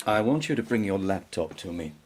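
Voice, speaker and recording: A man acting in an audio drama as a stern, concerned schoolmaster, measured and probing as he questions a pupil. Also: low-pitched, animated, a crowd in the background. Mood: concerned, firm.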